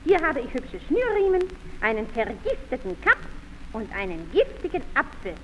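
A woman's voice: high-pitched, wildly swooping pitch, normal speed, fairly bright tone, long reverb tail, lo-fi sound, exaggerated voice. An actress playing a proud, scheming evil queen in an old radio play, with a haughty, cutting manner. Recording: scratchy 1930s radio recording.

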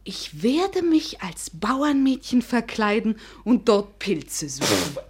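A woman's voice: a little high, big pitch swings, slow pace, breathy edge, heavily acted. An actress playing a romantic, haughty young lady of the gentry in a German radio drama, imperious and eagerly curious. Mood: indignant, scheming. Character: impatient, romantic young noble lady, haughty but girlish.

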